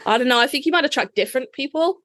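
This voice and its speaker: A woman's voice: high voice, lively intonation, racing, fairly bright tone, no room sound, studio quality. A woman co-hosting a podcast, talking casually and enthusiastically, with light swearing. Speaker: podcast co-host.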